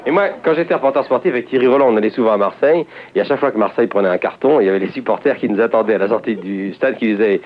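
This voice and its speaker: A man hosting a TV game show, chatting with guests in an animated way and laughing often. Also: on the low side, very fast. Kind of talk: lively TV game show chat. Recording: dull broadcast audio with clear noise.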